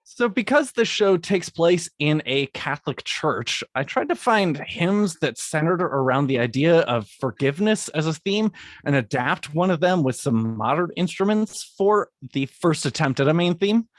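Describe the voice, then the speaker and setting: A man's voice: fairly high pitch, expressive, moderate pace, no room sound. A man, a guest composer, reading scripted lines for a podcast and stopping to redo them. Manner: reads scripted lines, then breaks off to restart them. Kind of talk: recording a podcast segment with retakes.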